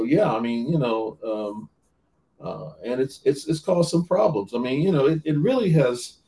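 A man's voice: medium pitch, dark tone, far from the mic. An earnest male minister talks through his points in a podcast interview. He stumbles, restarts and repeats words as he goes. His voice sits a little back from the microphone.

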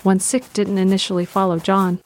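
A woman's voice: mid-range voice, level tone, normal speed, a little mellow. A woman reading scripted present-tense narration for an audio drama.

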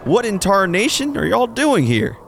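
A man's voice: high-pitched, big pitch swings, normal speed, creaky, background noise, heavily acted. A man playing a friendly, earnest neighbor in an audio drama. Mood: folksy surprise. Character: friendly, folksy Southern male neighbor, curious and earnest. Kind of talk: church audio drama acting.

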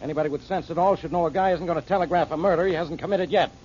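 A man playing a gruff, impatient police inspector. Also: a little high, fairly even intonation, very fast, a little mellow, natural voice. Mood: irritated. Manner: brusque and dismissive. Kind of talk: 1940s radio acting. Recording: muffled old radio broadcast, faint hiss.

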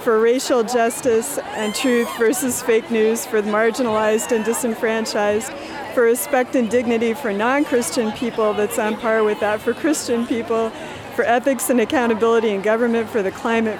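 A woman steadily reciting a list of reasons for marching. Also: a little high. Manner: steady, listing. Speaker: march attendee. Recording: crowd and street noise.

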